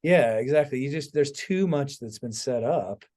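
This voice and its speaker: A man's voice: medium pitch, moderate pace, a little mellow. A man hosting a podcast in casual conversation, telling stories with emphatic asides and frequent check-ins with his listener. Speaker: podcast host and author. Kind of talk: casual chat.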